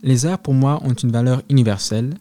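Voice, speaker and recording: A man hosting a cultural radio program, reading a formal, measured introduction of his guest. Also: mid-range voice, warm tone. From African diaspora in Canada. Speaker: radio host.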